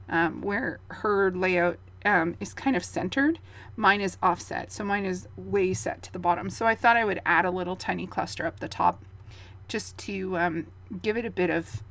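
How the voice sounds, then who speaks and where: A woman in her thirties, hoarse and gravelly from losing her voice, chatting through a craft project in a rambling, easygoing way with fillers. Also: medium pitch. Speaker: scrapbooking channel host. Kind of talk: casual voice-over of a craft process.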